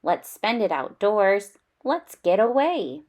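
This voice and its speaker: A woman narrates a children's storybook at a slow, unhurried pace. Her pitch moves up and down in a lively way, and she reads expressively, leaning on key words. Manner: unhurried, animated reading with emphasis. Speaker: children's read-aloud storyteller.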